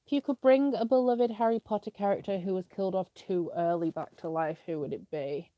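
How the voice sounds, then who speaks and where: A woman's voice: mid-range voice, fairly quick, dark tone, dry recording, poor audio. A young woman with a British accent, sniffly with a slight cough, chatting casually as she answers viewer questions in a live stream, with lots of "like" fillers. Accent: British English.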